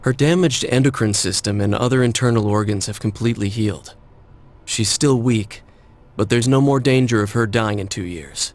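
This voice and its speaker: Actor as male medical expert, game drama; lowish voice, clinical, matter-of-fact delivery. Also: moderate pace, natural voice. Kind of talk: scripted game voice acting.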